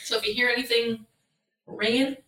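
A woman with a low voice, co-hosting a podcast in laid-back, joking casual chat. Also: fairly even intonation, moderate pace, fairly bright tone, recorded from a distance. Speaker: podcast co-host. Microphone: distant from the mic. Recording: echoey, off-mic.

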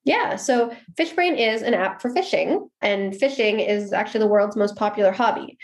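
A woman's voice: mid-range voice, normal speed. American woman explaining with easy confidence. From Seattle, USA. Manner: friendly, explanatory, occasional restarts. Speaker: guest, marketing executive. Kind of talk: casual interview answers.

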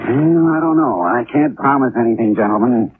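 Male actor for measured, frank doctor, middle pitch, vintage radio drama, tinny sound. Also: lively intonation, over music, natural voice. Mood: grave. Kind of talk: 1950s radio drama acting. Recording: noisy, narrow-band old 1950s radio recording.

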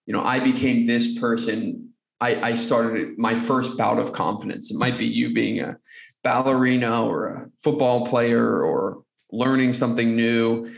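Guest on a podcast: man, gravelly, earnest motivational delivery, echoey room. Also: medium pitch. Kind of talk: conversational interview answers.

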